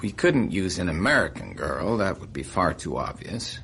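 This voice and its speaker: A man's voice: low-pitched, big pitch swings, normal speed, vocal fry, fairly bright tone, a little hiss or hum, heavily acted. An actor playing an earnest, somewhat hesitant male intelligence officer in a naturalistic radio drama. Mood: worried. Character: Richard Helms, earnest male CIA officer seeking advice.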